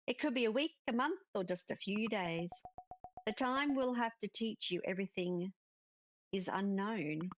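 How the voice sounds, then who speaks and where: A woman's voice: medium pitch, animated, measured pace, muffled recording, a little hiss or hum, lightly acted. A woman voicing a kindly spirit witch mentor in a cozy visual novel, patiently explaining and instructing. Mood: solemn. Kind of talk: cozy visual novel dialogue read aloud.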